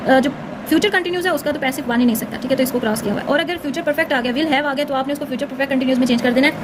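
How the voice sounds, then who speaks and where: A woman's voice: high voice, very fast, noisy background. A woman teacher explaining in an informal, instructional tutorial style, often checking in with short confirming tags. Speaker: English grammar teacher.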